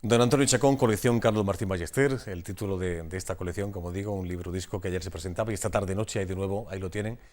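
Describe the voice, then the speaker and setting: A man's voice: low-pitched, fairly even intonation, fast, few pauses, a little mellow. A man with a Spanish accent from Spain, hosting a conversational TV interview and often repeating words as he forms his questions. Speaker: TV magazine host interviewing a guest.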